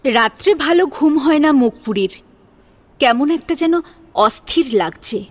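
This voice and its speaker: A woman's voice: high-pitched, lively intonation, measured pace. A woman reading a scripted story as a dramatic narrator. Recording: music behind the voice.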